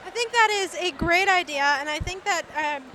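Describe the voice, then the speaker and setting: A woman's voice: extremely high pitch, moderate pace, pushed and bright, slight background noise. A woman from Canada hosting a reptile vlog, chatting upbeat and enthusiastic with vendors at an expo while losing her voice. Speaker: reptile vlogger and host. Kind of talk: casual vlog interviewing. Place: indoor reptile expo hall.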